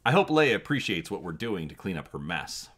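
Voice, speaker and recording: A man voicing a roguish young male smuggler pilot, speaking in quick, wry, clipped remarks. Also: sits low, sing-song, a bit bright, exaggerated voice.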